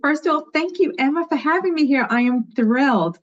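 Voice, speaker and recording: A woman's voice: high voice, animated, brisk. A woman in her fifties from New York with a light New York accent, chatting enthusiastically as an interview guest. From New York, USA. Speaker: guest, education leader. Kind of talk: casual interview. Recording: dull lo-fi call audio.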